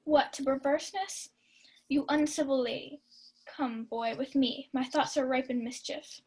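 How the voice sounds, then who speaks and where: High-voiced young woman as a lovesick, wounded Duke. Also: steady tone, moderate pace, a little mellow, low-quality recording. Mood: wounded, scornful. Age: young adult. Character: lovesick, wounded, angry Duke, a grown man. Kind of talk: 1940s radio play Shakespeare acting.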